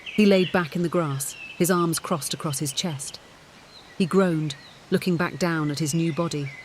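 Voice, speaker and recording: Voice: medium pitch, moderate pace, slight background noise. An audiobook narrator reading a scripted story in the third person.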